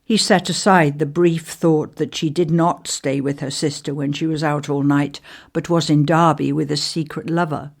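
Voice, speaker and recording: A woman reading a ghost story aloud as scripted narration, in a measured, deliberate way with pauses. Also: low voice, fairly even intonation, gravelly.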